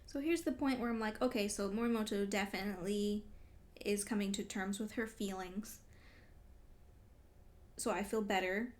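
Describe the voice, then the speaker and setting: A woman's voice: mid-range voice, steady tone, normal speed, long pauses. A woman doing a casual read-along reaction as a vlogger, talking in an exclamatory, emotionally invested way. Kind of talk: casual reaction commentary while reading.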